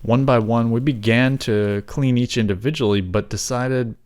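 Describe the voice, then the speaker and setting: A man's voice: medium pitch, normal speed, a little mellow. A man reading scripted how-to narration in a step-by-step tutorial, as its narrator. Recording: clear background noise.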